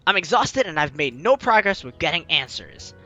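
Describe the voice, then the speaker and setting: A man's voice: a little high, sing-song, normal speed, pushed and bright, no room sound, dull audio, soft background music. A man hosting a let's-play, reading a visual novel aloud and breaking off to laugh and comment.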